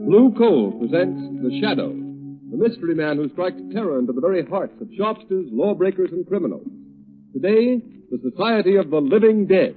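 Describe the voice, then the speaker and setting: An old radio serial announcer with a high, very animated voice. He is dramatic, emphatic and persuasive. The sound is thin and narrow, like a 1930s broadcast. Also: normal speed, over music. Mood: ominous. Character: urgent, persuasive male announcer of a mystery serial. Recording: thin, band-limited old radio recording.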